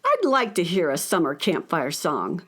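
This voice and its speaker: An actress playing a friendly narrator of a children's radio story, telling it expressively. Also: female voice, on the low side, huge pitch swings, moderate pace, fairly bright tone, heavily acted. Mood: indulgent.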